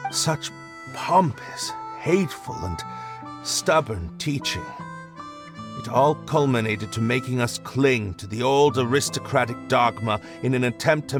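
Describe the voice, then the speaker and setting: A disillusioned young nobleman, hesitant and reflective, speaking slowly in a mid-range voice with many halting pauses. Clear game music runs underneath. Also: medium pitch. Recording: game cutscene with music behind.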